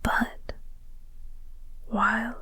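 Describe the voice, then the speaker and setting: A woman playing a flirty, caring coworker in an intimate audio roleplay, speaking softly and gently in a breathy, reassuring way. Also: very slow, dry recording. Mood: comforting. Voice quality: soft, breathy, whispery.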